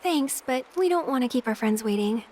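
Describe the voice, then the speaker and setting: A woman voicing a candid, wistful young woman in a video game, talking in a soft, conversational way. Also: on the high side, expressive, brisk, slight background noise, close to an everyday voice. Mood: polite, light. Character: candid, warm-hearted young woman, wistful and gently teasing. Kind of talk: English-language video game voice acting.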